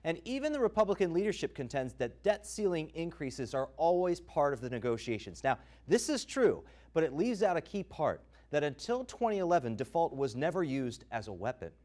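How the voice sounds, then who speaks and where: News reporter, male: emphatic, dramatic pauses, swooping pitch, dry close recording. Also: big pitch swings, darkish tone, dry recording. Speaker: television news reporter and anchor. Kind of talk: scripted TV news narration.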